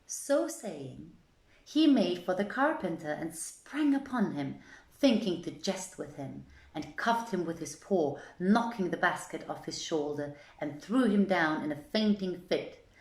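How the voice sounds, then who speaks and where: A woman's voice: on the low side, lively intonation, unhurried. A woman reading an old tale as a storyteller, in theatrical, formal, archaic phrasing. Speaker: storyteller, reader. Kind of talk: scripted literary narration of an old tale. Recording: echoey, roomy sound.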